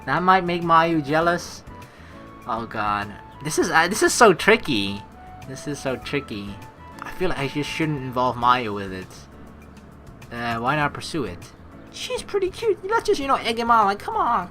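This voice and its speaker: Male gaming host, bright and very animated, slangy, exasperated, rambling commentary over faint game music. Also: on the high side, a little slow. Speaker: let's play host. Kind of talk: casual gameplay commentary, reading story text aloud.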